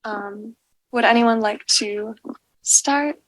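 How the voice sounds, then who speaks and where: Higher-voiced woman moderating a panel. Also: fairly bright tone, no room sound, rough recording. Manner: friendly, fills pauses with "um". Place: university symposium event.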